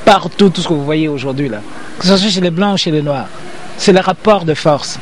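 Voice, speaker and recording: An older man explains himself with the insistence of a lecturer, circling back and repeating his points. His voice sits fairly high for a man. Faint city noise hums behind him. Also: brisk. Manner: emphatic, repetitive, lecturing. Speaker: interview guest, professor. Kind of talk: interview.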